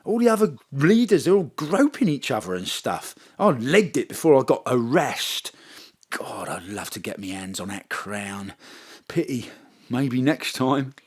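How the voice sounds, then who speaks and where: Cocky male petty thief, British accent, wildly varied pitch, uncouth, blustering, comic audio drama. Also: big pitch swings, unhurried, a little mellow, slightly rough. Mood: indignant, gossipy. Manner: colloquial, blustering, ungrammatical. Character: cocky, uncouth small-time thief, male, slangy and boastful.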